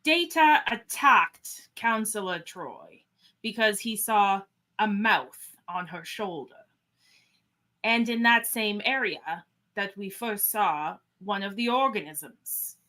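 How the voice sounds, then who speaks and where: A starship captain, acted by a woman in a sci-fi radio play. The voice sits at a middle pitch and moves slowly and deliberately. The manner is composed, probing and commanding. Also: animated, sharp tone. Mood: measured, commanding. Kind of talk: podcast live script reading.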